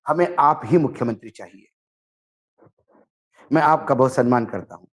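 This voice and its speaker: A man giving political commentary, speaking emphatically and deliberately in short phrases as he recounts dialogue. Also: medium pitch, expressive. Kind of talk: political commentary, narrating and translating a reported conversation.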